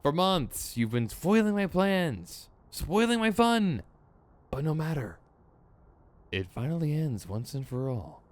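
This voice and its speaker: A man's voice: a little high, sing-song, takes it slowly. A man playing a theatrical, sardonic supervillain in a scripted ASMR roleplay, exasperated and smug, with mock menace and a grumbling, rambling streak. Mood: menacing, resolute.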